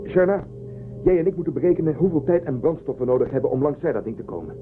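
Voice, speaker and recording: A man's voice: a little high, expressive, fast talker, lightly acted. A man acting in a radio drama as a serious, decisive spaceship commander. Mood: determined. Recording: tinny phone-like narrowband audio. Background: music.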